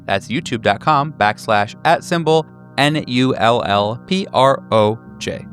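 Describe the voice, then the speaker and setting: A man's voice: medium pitch, unhurried, a bit bright, faint music behind. A man hosting a tabletop role-playing podcast as game master, introducing the show and prompting players.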